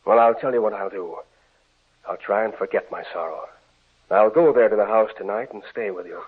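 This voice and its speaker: An actor playing a grave, weary, bereaved middle-aged landlord, speaking in a subdued old radio drama manner. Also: male voice, on the low side, a little fast, slightly rough. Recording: scratchy, narrow-band vintage radio recording.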